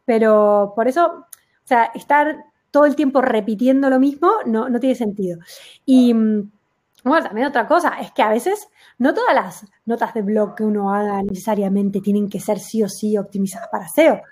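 A woman working as an SEO consultant, explaining emphatically in a casual conversation and reaching for quick everyday examples. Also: on the high side, darkish tone.

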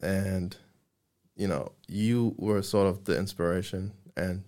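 A young man hosting a casual podcast interview, relaxed and friendly, swearing now and then. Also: sits low, slow pace. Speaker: podcast host.

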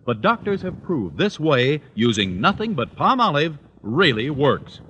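Bright, emphatic American radio pitchman. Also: very animated, measured pace. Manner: emphatic, punchy salesmanship. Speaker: commercial announcer. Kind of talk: 1940s-50s radio advertising pitch. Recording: slightly noisy vintage radio recording.

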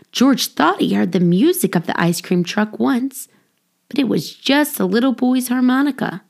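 A woman reading a children's story aloud as scripted narration, with expressive, deliberate phrasing for young listeners. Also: fairly high pitch. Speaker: audiobook reader, children's storyteller.

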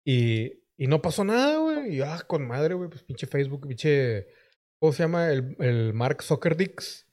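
A man's voice: on the high side, big pitch swings, a little slow, a little mellow. A man with a Mexican accent hosting a live stream, rambling and joking crudely in slangy banter. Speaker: streamer host.

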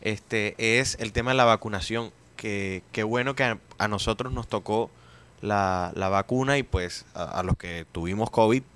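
A man's voice: on the low side, moderate pace, a bit bright, clean tone. A man co-hosting a casual chat show, laughing readily and acting out funny quotes. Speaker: co-host.

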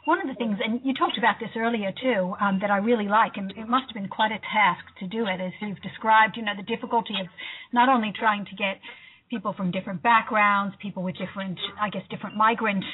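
A woman radio interviewer asks long, winding questions, laughing softly now and then. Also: medium pitch, fairly even intonation, fast, slightly rough. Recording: narrow phone-like line.

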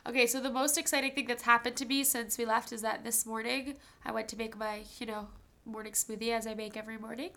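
A woman's voice: fairly high pitch, flat delivery, fast, a bit bright. A young woman co-hosting a podcast with a stuffy nose, chatting casually and laughing often while telling stories. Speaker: podcast co-host. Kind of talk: casual chat.

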